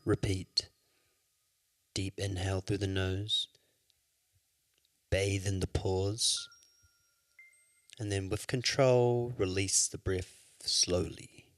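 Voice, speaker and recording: A man leading a guided yoga nidra meditation as an instructor, with soothing, measured instructions. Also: low voice, flat delivery.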